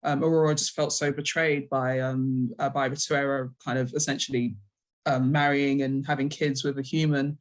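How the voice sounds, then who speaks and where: A woman's voice: extremely low pitch, flat delivery, moderate pace. A woman from the UK, a writer, giving casual interview answers and thinking aloud hesitantly as she goes.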